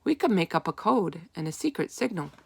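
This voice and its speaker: A woman's voice: fairly low pitch, sing-song, brisk, vocal fry, slightly rough, exaggerated voice. A woman voicing an eager, keen young schoolboy in a children's storybook, with simple childlike delivery.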